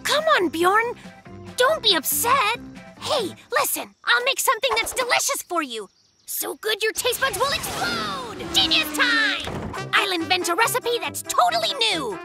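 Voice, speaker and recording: An actor voicing an excitable, boastful young polar bear cub in a children's cartoon, with exclamatory, dramatic delivery. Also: very high-pitched, unhurried, heavily acted. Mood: eager, boastful. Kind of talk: animated children's cartoon dialogue. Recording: children's cartoon with music bed.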